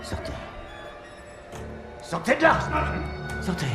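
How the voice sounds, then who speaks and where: A man playing a cold, menacing interrogator officer in a game drama, measured, formal and condescending. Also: high-pitched, sing-song, reverberant, music in the background, full character voice. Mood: commanding. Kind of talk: dramatic game acting in French.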